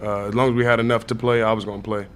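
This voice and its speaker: A man's voice: fairly low pitch, flat delivery, very fast, a bit bright. A young man, a college athlete, giving casual answers as an interview guest. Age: young adult. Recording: noticeable background noise.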